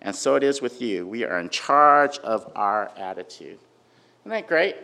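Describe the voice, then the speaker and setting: A man giving an informal sermon with a personal story, talking conversationally and loudly, with many fillers and frequent "right?" check-ins. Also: mid-range voice, very animated, a little slow, vocal fry. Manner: conversational, filler-heavy, asks "right?" often; says he has a really loud voice. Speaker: preacher.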